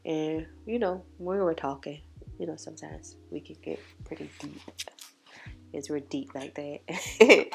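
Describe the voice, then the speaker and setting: A woman's voice: deep voice, vocal fry. A congested woman, chatting casually to camera about makeup and giving her opinions. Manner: chatty, opinionated, drawn-out emphasis. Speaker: beauty vlogger. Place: home makeup setup with ring light. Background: soft background music.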